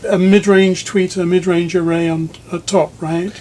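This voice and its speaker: Higher-pitched man interviewing, measured. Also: level tone, darkish tone. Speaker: magazine editor interviewing a speaker designer. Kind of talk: conversational technical interview. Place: listening room in a home.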